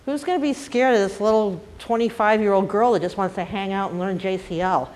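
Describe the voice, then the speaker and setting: A woman's voice: mid-range voice, a little fast, a little mellow, rough recording. An older woman from Boston with a light Boston accent, speaking as a panelist and telling her own career stories in a wry, frank, self-deprecating way. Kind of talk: candid personal talk.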